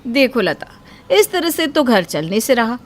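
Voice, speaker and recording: A woman's voice: fairly high pitch, very animated, unhurried. A woman playing a jealous, spiteful housewife in a cartoon moral story, sarcastic, bossy and full of complaints. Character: spiteful, jealous elder sister-in-law, grumbling housewife.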